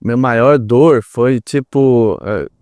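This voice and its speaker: A man's voice: mid-range voice, slow pace, a little mellow, smooth, no echo. Earnest Brazilian man, dry close sound. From Brazil, small town. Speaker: podcast guest. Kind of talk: personal storytelling in a podcast interview.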